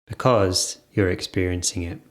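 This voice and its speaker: A man leading an off-the-cuff guided meditation, speaking in short phrases with gentle pauses between them. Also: deep voice, fairly even intonation.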